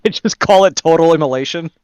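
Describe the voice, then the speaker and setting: A man's voice: fairly high pitch, brisk, darkish tone, clean tone. A man talking casually and thinking aloud as a player in a tabletop roleplaying game.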